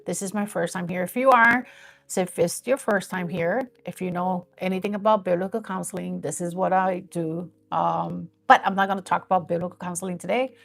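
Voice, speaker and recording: A woman's voice: lowish voice, steady tone, brisk, gravelly. A woman in her fifties chatting casually and earnestly on a live stream, rambling a little as she talks about growing her page. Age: 50s.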